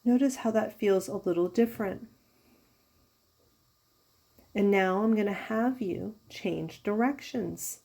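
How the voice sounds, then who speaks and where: A woman leading a guided yoga nidra meditation as a teacher, giving soothing, unhurried instructions with pauses. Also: mid-range voice, creaky, mellow. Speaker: yoga therapist guiding a meditation.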